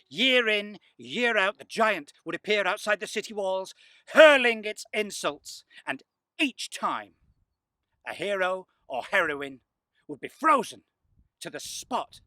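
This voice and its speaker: A man narrating a folktale as a storyteller, theatrical and emphatic, with suspenseful pauses. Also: sits high, a bit bright, no room sound.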